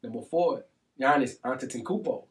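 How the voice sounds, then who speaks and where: A man's voice: mid-range voice, normal speed. A man co-hosting a casual sports podcast, talking in a playful, slangy way. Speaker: podcast co-host. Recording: slightly distant from the mic.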